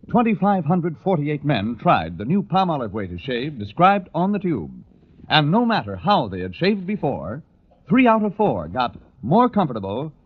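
A man with a husky, rough voice reading a scripted 1940s radio commercial. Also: fairly high pitch. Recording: tinny, narrow old radio recording.

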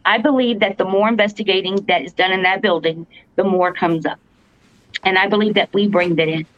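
A woman with a fairly deep voice, earnestly telling a story as a podcast co-host, halting with fillers and restarts. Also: steady tone, a bit bright, dry recording. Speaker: podcast co-host, paranormal investigator. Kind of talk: casual storytelling. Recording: dull lo-fi podcast audio, dry.

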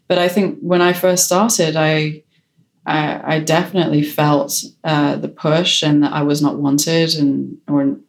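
A woman director and photographer answering interview questions in a frank, decisive way, with a strong voice. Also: deep voice. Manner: decisive, frank, self-assured.

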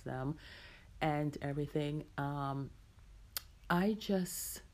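A woman's voice: deep voice, mellow. A middle-aged woman hosting a podcast as a casual, reflective personal monologue, repeating phrases for emphasis. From Jamaica. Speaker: podcast host.

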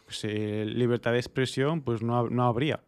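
A man with an accent from Spain co-hosting a casual discussion podcast, explaining things in a halting, digressive way with some colloquial swearing. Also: medium pitch, fairly even intonation. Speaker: podcast co-host.

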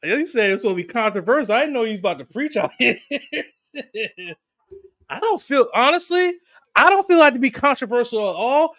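A man's voice: very high-pitched, lively intonation, moderate pace, a bit bright, no echo, clean studio sound. A man in his forties co-hosting a podcast in casual chat, blunt and profane. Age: 40s. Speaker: podcast co-host.

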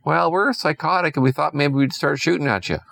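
A man voicing an excitable, unhinged male space crewman in a bedtime story, talking in a jittery rush. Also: on the high side, brisk, creaky, voice pushed up. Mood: frantic.

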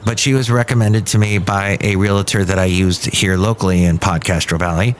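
A man's voice: deep voice, steady tone, fairly quick, slight background noise. A middle-aged man hosting his podcast from a café, talking in a casual, rambling way that drifts off topic, with frequent restarts. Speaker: podcast host.

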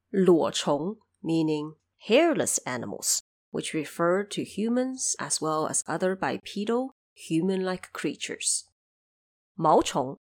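A woman narrating a scripted audio drama in an expressive, deliberate storytelling manner, with explanatory asides. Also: on the low side.

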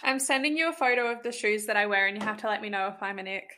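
A woman's voice: medium pitch, fast talker, bright, forceful tone, a little hiss or hum. A young woman in her twenties co-hosting a podcast, chatting casually and laughing between remarks. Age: 20s. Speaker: podcast co-host. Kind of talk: casual chat.

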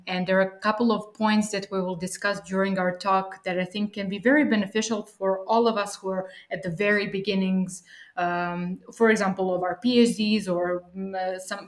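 A woman hosting an interview podcast, speaking in an enthusiastic and encouraging manner. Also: medium pitch. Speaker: podcast host. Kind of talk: scripted podcast introduction and interview.

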